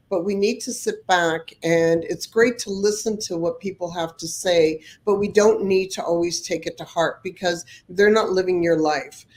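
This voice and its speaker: A woman's voice: lowish voice, a little mellow. A woman hosting a motivational podcast, a little nasal from a cold, earnest and prone to repeating words as she starts a thought. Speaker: podcast host. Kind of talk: motivational chat.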